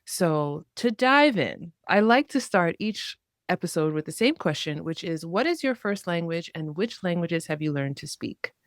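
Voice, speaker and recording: A woman's voice: on the low side, lively intonation, normal speed, hardly any pauses, no echo, studio quality. A woman hosting a language podcast who interviews her guest with open enthusiasm. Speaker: podcast host.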